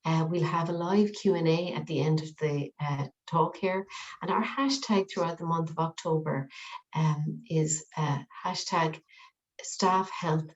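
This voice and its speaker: A woman's voice: deep voice, steady tone, a little slow. A woman hosting a webinar, friendly, with a few ums and restarts. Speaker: webinar host. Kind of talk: webinar introduction. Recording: dull lo-fi call audio.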